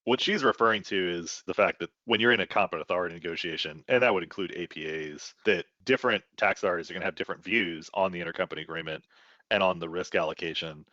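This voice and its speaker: Very deep-voiced man, bright and forceful. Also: fast talker, no room sound, dull audio. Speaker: expert panelist on tax. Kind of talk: conversational expert commentary.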